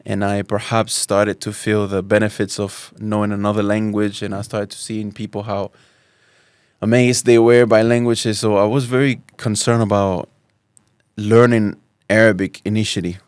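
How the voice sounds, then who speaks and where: A man's voice: fairly low pitch, level tone, normal speed, smooth, no echo, studio quality. A man in his thirties from Spain, a podcast guest telling his life story in a thoughtful way, often restarting and mixing in Arabic phrases. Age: 30s. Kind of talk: relaxed personal storytelling.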